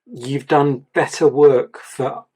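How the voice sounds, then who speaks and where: A man reviewing an artist's portfolio, talking in a rambling, repetitive way, his voice going a little croaky. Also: mid-range voice, steady tone, slow, mellow. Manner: rambling, repeats words, gives advice. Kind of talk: casual mentoring chat.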